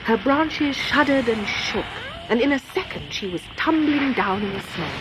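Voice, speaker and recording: A woman reading a children's story in an expressive, theatrical narrator's style. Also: on the high side, moderate pace, heavy background noise. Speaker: storybook reader.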